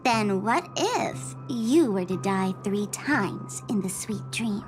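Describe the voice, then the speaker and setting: A woman's voice: mid-range voice, animated, slow. An actress playing a theatrical, teasing young trickster woman in an anime-style game, with a smug, sing-song delivery. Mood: sly, dramatic. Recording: music behind the voice. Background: game soundtrack music.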